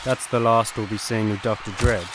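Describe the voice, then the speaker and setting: An actor playing a calm, confident superhero in a comedy radio play, speaking in a measured way amid loud sound effects. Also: male voice, lowish voice, very noisy, lightly acted. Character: calm, confident superhero man posing as a mild civilian.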